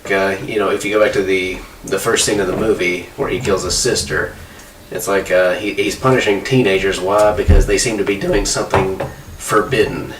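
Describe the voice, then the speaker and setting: A man on a podcast panel, giving conversational film analysis in a measured, descriptive way. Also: low voice, fairly even intonation. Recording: distant, echoey, faint background noise.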